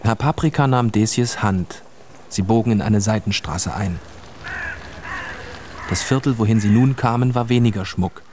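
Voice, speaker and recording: A man's voice: fairly low pitch, unhurried, pauses often, noisy background. A man narrating a scripted children's story as the narrator of a radio play. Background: music.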